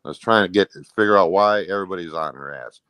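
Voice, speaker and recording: Male interview guest: low register, close dry sound, casual, meandering, defensive, rough everyday wording. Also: quick pace, fairly bright tone, no room sound. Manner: rambling, peppered with "you know".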